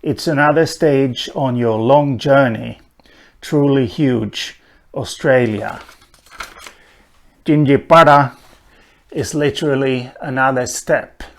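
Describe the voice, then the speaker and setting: Swedish-speaking guy explaining a language lesson, real slow, lots of uh's, mid-pitched voice. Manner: slow and thoughtful, with many "uh" pauses. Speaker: language teacher. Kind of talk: unhurried spoken lesson on a language.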